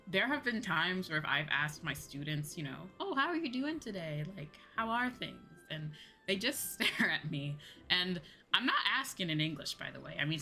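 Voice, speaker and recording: A woman's voice: deep voice, sharp tone. A woman streamer talking casually and conversationally in a livestream chat. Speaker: VTuber streamer.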